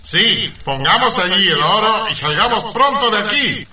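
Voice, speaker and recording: A man's voice: sits high, animated, moderate pace, noisy background. A man playing a bossy, ruthless crook in a comic radio play, with commanding, mock-menacing, theatrical delivery. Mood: urgent. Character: bossy, ruthless crook leading greedy gold miners. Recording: echoey, distant studio sound.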